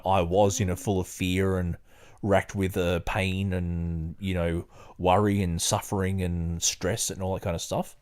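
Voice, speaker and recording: Low-pitched man, podcast host. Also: a little mellow. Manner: hesitant, halting, digressive when talking. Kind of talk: casual chat and reading aloud.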